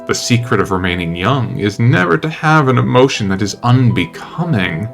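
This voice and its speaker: A cynical, worldly gentleman of high birth as a man acts him out. He is languid, witty and detached, at a middle pitch, in an audiobook with music underneath. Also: expressive, moderate pace, gravelly. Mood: languid, amused. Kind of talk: dramatic audiobook character reading.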